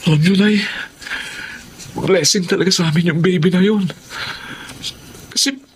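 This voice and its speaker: A man's voice: high-pitched, measured pace, a little hiss or hum. An actor playing an eager young student father in a radio drama, speaking as a respectful, boyish, earnest son. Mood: earnest, cheerful. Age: young adult. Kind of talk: Tagalog radio drama acting.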